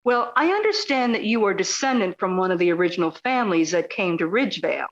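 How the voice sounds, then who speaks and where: A woman's voice: mid-range voice, expressive, quick pace, dull audio, lightly acted. Casting: actress for persistent, courteous female reporter, mid-range voice, probing delivery, radio drama. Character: polite, inquisitive, persistent female radio journalist. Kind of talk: audio drama acting.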